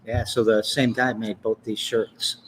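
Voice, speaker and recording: A man's voice: on the low side, little pitch movement, a little slow, slight background noise, low-quality recording. A man in his fifties from Michigan, hosting a casual interview and rambling through his life story with chuckles and self-corrections. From Lansing, Michigan. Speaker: podcast host.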